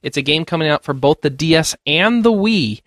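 A man's voice: fairly high pitch, animated, fairly quick, fairly bright tone, no room sound, studio quality. A man hosting a casual panel show, reading out game news and bantering with the others.

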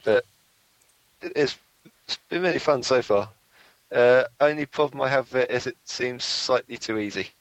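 A soft-spoken man co-hosting a casual podcast, a bit hesitant as he talks. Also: medium pitch, moderate pace, dull audio. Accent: non-American. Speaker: podcast co-host. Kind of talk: casual chat.

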